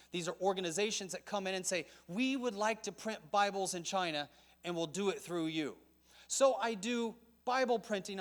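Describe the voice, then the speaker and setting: High-voiced man giving a chatty church talk. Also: fairly bright tone. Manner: conversational and joking, with asides. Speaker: missions conference speaker. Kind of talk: conference talk to a church audience.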